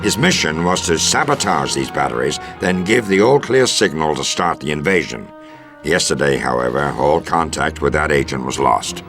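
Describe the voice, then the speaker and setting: An actor playing a stern, composed American army intelligence colonel, giving a formal, measured mission briefing in a video game. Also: male voice, rumbling low, lively intonation, a bit bright, faint music behind, heavily acted. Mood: grave. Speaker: military officer giving a briefing.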